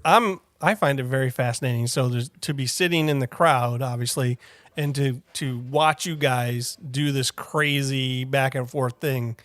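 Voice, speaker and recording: A man's voice: mid-range voice, fairly even intonation, unhurried, a bit bright, no echo. A man co-hosting a casual podcast chat, reacting with amazed exclamations. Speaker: podcast co-host.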